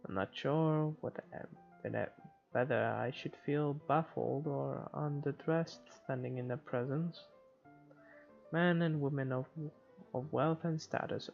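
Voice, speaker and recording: A man's voice: a little high, gravelly, darkish tone. A man reading visual novel narration aloud for a playthrough, on a dull lo-fi mic. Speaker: let's player reading game narration. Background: faint game music.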